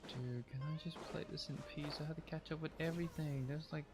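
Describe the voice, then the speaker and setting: A man's voice: a little high, fairly even intonation, fairly quick. Man streaming a game, casual chat. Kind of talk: casual livestream chat over gameplay. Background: game music.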